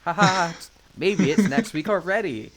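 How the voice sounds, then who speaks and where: A man's voice: sits high, animated, measured pace, fairly bright tone. A man co-hosting a casual unscripted podcast, rambling and joking with mock confidence. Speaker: podcast co-host.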